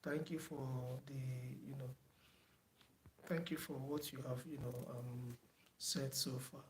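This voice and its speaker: A soft-spoken man closing an online driving theory class, talking unhurriedly with many fillers and repeats. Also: mid-range voice, fairly even intonation, slow pace, a little hiss or hum. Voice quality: soft-spoken, says he does not shout. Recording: echoey room with faint background ambience.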